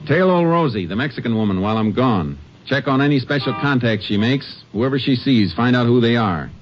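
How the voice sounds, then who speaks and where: An actor playing a stern, no-nonsense Texas Ranger, terse and authoritative, in an old radio drama. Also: male voice, mid-range voice, lively intonation, fairly quick, lightly acted. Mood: brisk, commanding. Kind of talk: 1950s radio drama acting and narration. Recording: muffled, noisy old radio recording.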